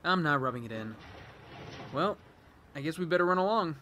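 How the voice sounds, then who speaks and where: An actor playing a breezy, wisecracking well-off pal in a radio drama, jaunty and slangy. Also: male voice, a little high, lively intonation, normal speed, bright, forceful tone, a little hiss or hum. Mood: breezy, jovial.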